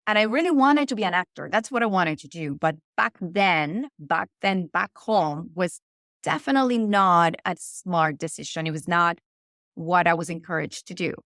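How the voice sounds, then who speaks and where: A woman's voice: on the low side, animated, normal speed, fairly bright tone, no room sound, studio quality. A middle-aged woman from Venezuela with a Venezuelan Spanish accent, talking earnestly as a podcast guest and often restarting her phrases. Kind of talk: conversational interview.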